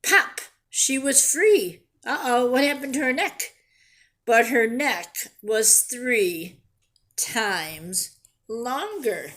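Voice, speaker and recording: A woman reading a picture book aloud to young children in an expressive, deliberate way, pausing to ask simple questions and explain things. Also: fairly high pitch. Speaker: children's storyteller and teacher.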